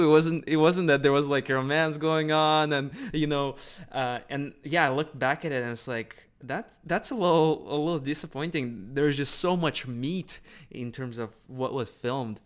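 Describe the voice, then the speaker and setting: A man's voice: medium pitch, clear, resonant tone. A man hosting an interview, engaged and chatty, sharing his own stories between questions. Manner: engaged, shares own stories, quick affirmations. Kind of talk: casual interview conversation.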